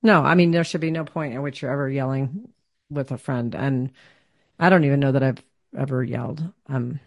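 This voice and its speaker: A woman's voice: very deep voice, moderate pace, no echo, clean studio sound. A woman hosting a podcast, asking probing questions and affirming in an assertive conversational way. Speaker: podcast host. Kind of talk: conversational interview chat.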